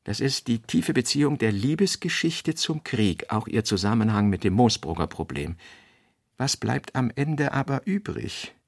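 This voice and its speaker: A man with a gravelly, creaky voice reads literary notes for a radio production. The sound is dry and close, with no room around it. His delivery is deliberate and clipped, setting out fragments and theses one by one. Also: medium pitch, animated, no room sound. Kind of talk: scripted literary radio reading, essayistic and fragmentary.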